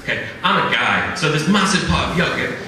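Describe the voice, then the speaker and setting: A man's voice: on the high side, expressive, a little fast, bright, forceful tone. A young man with a Liverpool accent doing a chatty, self-deprecating stand-up set, tagging lines with "right?". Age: young adult. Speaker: stand-up comedian. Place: comedy venue with a live audience. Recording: echoey room, distant mic, audience laughter.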